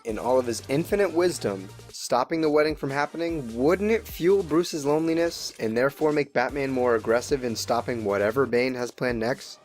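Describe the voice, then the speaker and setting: A man giving a scripted, opinionated review as a show host, with conversational asides. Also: medium pitch. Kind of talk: scripted narration, review commentary. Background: music.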